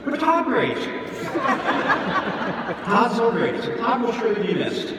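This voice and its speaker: Man acting out an overwrought, gushing younger brother, high-pitched. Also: sing-song, slow, crowd noise, heavily acted. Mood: frantic gushing. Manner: breathless, lunging, effusive. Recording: echoey hall, distant audience phone recording, noisy.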